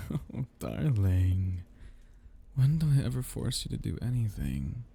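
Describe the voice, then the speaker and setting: A man playing a seductive, teasing, menacing vampire noble in an intimate ASMR roleplay, in a breathy, gravelly murmur. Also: big pitch swings, heavily acted. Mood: teasing, mocking. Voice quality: husky, breathy, low murmur with gravelly edges. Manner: slow, teasing drawl with soft whispered dips.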